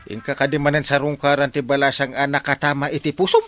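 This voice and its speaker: A man with an Ilocano accent playing an excitable village man who nags and advises his friend in a comedy drama. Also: mid-range voice, fast talker, faint music behind, natural voice. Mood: exasperated.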